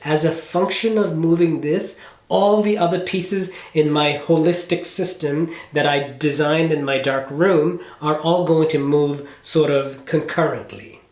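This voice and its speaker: Higher-voiced man coaching, in an echoey room. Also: darkish tone. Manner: explanatory, emphatic with rhetorical check-ins. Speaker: business coach. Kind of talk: casual coaching phone call. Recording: roomy, echoey room sound.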